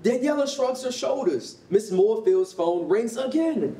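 A woman's voice: animated, a little slow, dark tone. A woman narrating a staged reading as scripted stage directions. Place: concrete rehearsal hall, live staged reading. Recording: roomy, distant mic, noisy rumble.

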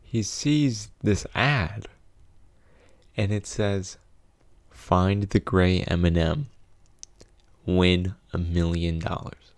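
A young man hosts a casual, comedic storytime. His voice is low with plenty of creak, and he uses mock-dramatic pauses. The sound is dry and close on a studio mic. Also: fairly even intonation, no echo. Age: young adult. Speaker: video host, storyteller. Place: small indoor home studio. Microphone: close studio condenser mic with pop filter.

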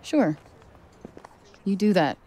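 A woman's voice: mid-range voice, expressive, slow, dark tone. A woman playing a sarcastic, streetwise young heroine in a fantasy video game, speaking casually. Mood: wry, guarded. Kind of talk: naturalistic video game acting.

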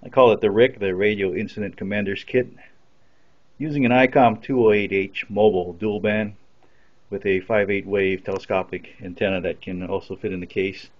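A man with a fairly low voice talks through a piece of equipment in an unscripted, matter-of-fact way. He sounds like a hobbyist giving a practical demonstration. The sound is dull and lo-fi, as from a simple camera microphone. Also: fairly even intonation, moderate pace. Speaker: amateur radio hobbyist demonstrating his equipment.

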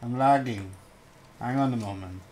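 A man's voice: medium pitch, normal speed, a little mellow, rough recording. A man chatting in improvised game roleplay, polite and hesitant, often repeating himself. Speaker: gamer, video creator.

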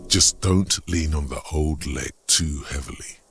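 Super low raspy narrator for a detective game, brooding, measured, kinda ominous. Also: male voice, a bit bright, faint music behind, heavily acted. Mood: measured, grave. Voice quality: gravelly, husky. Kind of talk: scripted second-person narration.

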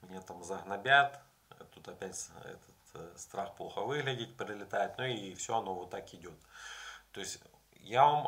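Low gravelly man giving casual advice. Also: fairly bright tone. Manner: conversational, frequent hesitation sounds, explaining with examples. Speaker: language learning vlogger. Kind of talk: casual advice talk to camera. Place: small room indoors with curtains.

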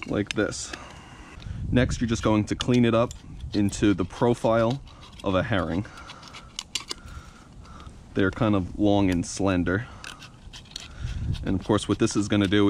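A man's voice: on the low side, slight background noise. A young man hosting a fishing channel, casually walking through a hands-on demonstration with frequent "you know" and "uh". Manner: relaxed, fillers like "you know" and "uh". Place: outdoors at a workbench.

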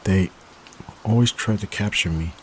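A man playing a timid, gentle young catboy in an ASMR roleplay, speaking hesitantly with stammers. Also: deep voice, normal speed, close to an everyday voice. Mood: sad, confiding. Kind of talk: soft-spoken ASMR roleplay. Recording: steady rain noise behind voice. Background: rain.

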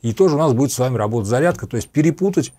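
A middle-aged man with a slightly husky voice, methodically explaining equipment in a review to camera. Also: mid-range voice, lively intonation, racing, mellow. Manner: methodical, explanatory, repeats points for clarity. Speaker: host of a radio equipment review channel. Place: small dim home office with computer monitors.

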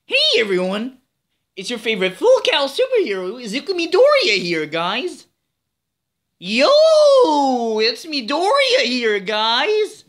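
Excitable high-pitched teen anime hero. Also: male voice, measured pace, long pauses, full character voice. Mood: eager, upbeat. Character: earnest, excitable teenage boy superhero from anime. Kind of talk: anime character impression.

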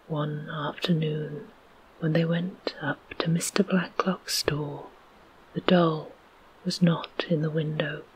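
A storyteller whispers a children's tale with no voice behind the breath at all. The reading is very slow and soft, and it stays airy and hushed from line to line. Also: medium pitch, little pitch movement, breathy edge, poor audio. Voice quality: unvoiced whisper.

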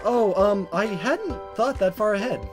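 Animated man reading a game aloud. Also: sits high, a little slow. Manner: animated, chuckling, stumbling over the text. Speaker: let's-play host reading a visual novel aloud. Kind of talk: playful dramatic reading with joking asides. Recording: music playing behind. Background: game music.

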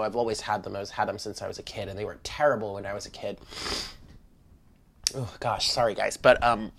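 A tarot reader speaks in a medium-pitched voice that sounds stuffed up with congestion. The delivery is informal and chatty, addressed straight to the viewers as a spiritual card reading is given at a table indoors.

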